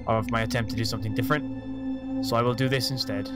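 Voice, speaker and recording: A man's voice: mid-range voice, steady tone, quick pace. A man chatting casually while building in a game, easygoing and thinking aloud. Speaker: streamer. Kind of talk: casual chat while gaming. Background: game music.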